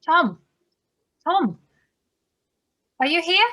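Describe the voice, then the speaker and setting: A woman's voice: extremely high pitch, big pitch swings, very slow, sharp tone, heavily acted. A woman playing an anxious, determined expectant mother in a thriller drama table read.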